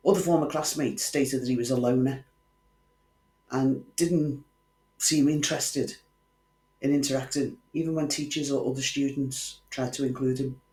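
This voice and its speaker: A man's voice: medium pitch, fairly even intonation, normal speed, a little mellow. A man hosting a true crime channel, telling a case in scripted narration with wry conversational asides to the viewer. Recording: echoey room.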